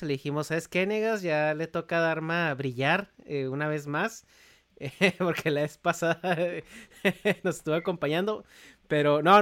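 A man's voice: on the high side, fairly bright tone, clean tone. A man hosting a podcast, introducing things in an informal, friendly, conversational way. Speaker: podcast host.

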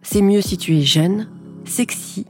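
A woman hosting a podcast, reading a scripted narration in a calm, measured way. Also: mid-range voice, soft background music.

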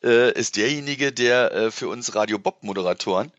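A man's voice: mid-range voice, moderate pace, fairly bright tone, no echo, dull audio. A man giving a casual, informal interview as a guest, talking in a hesitant, rambling way. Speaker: interview guest, radio presenter.

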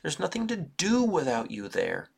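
A man doing a whiny, excitable little boy. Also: gravelly, husky, heavily acted. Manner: high, drawn-out whining.

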